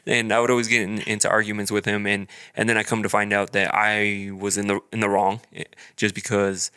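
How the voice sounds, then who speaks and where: A man with a low voice and a bright, forceful edge hosts a casual podcast chat. He rambles, restarts his sentences and leans on fillers as he keeps the conversation friendly. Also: level tone, a little fast, clear, resonant tone. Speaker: podcast host.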